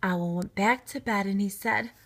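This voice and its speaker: A woman reading a children's storybook aloud in expressive narration. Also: medium pitch, normal speed, slight background noise. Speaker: storybook reader.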